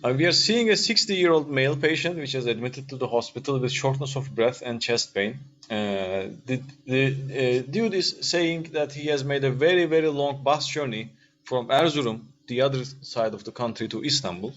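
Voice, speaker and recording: A man's voice: mid-range voice, normal speed, a bit bright. A man with a Turkish accent giving an informal, interactive lecture in English as a second language, with hesitant phrasing and frequent checking questions. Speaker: radiology lecturer. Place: online video-call class. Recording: dull lo-fi online call, faint noise.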